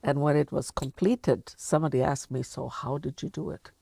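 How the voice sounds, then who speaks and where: An older woman with a Canadian accent, talking reflectively and deliberately as an interviewer in a sit-down conversation. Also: sits low, gravelly, warm tone, a little hiss or hum. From Canada, of Latvian descent. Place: furnished indoor lounge studio.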